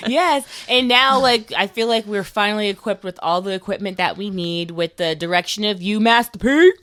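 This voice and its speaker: A woman's voice: medium pitch, normal speed, no room sound. A woman hosting a podcast in a casual, informal storytelling way, acting out quotes as she goes. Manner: animated quoting, swearing, informal. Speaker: podcast host.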